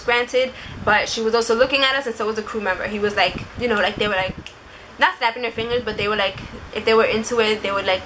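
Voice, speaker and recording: Bright-voiced young-sounding woman chatting casually. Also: on the high side, slight background noise, poor audio. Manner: informal, rambling, frequent "like". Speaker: vlogger sharing audition experience. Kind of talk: casual chat to camera.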